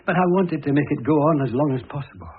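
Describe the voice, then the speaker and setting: An actor playing an aging, wealthy husband in a classic radio drama, proud, plaintive and earnest. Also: male voice, on the high side, expressive, quick pace, breathy edge. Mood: wistful, unhappy. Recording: muffled phone-like old radio recording.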